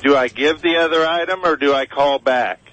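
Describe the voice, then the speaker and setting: A man calling in to a radio show with a deadpan, hesitant air of confusion, asking questions. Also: fairly high pitch, normal speed, low-quality recording. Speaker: prank caller to a radio show. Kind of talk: deadpan prank phone call.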